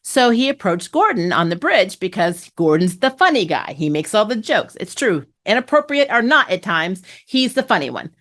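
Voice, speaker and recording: A woman with a mid-pitched voice talks like a devoted fan hosting her own review channel. Her delivery is casual and opinionated, full of slang and gushing enthusiasm, as she recaps in a loose, chatty way. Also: very animated, moderate pace, few pauses, fairly bright tone.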